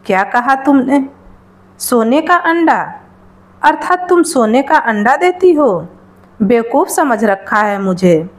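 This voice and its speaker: Nagging village wife acted by a woman narrator, storybook: scornful, suspicious, high voice with big swings. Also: sing-song, slow pace. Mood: disbelieving. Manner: scolding, sarcastic, suspicious.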